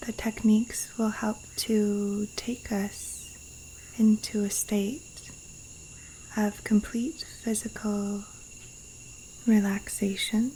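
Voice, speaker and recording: A woman guiding a yoga nidra meditation in a hushed, breathy, soothing voice with long pauses, recorded outdoors in the jungle. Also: mid-range voice, low-quality recording. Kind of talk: guided meditation. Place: outdoors in a Costa Rican jungle. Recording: faint rainforest insect and cricket noise. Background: insects and rainforest wildlife sounds.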